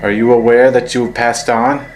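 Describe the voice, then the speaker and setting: A man's voice: mid-range voice, flat delivery, unhurried, soft background music, poor audio. A man speaking aloud as a ghost hunter during a paranormal investigation.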